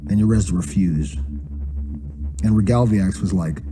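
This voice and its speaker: Low-voiced man telling a story over music. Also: a little slow. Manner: profane slangy casual narration.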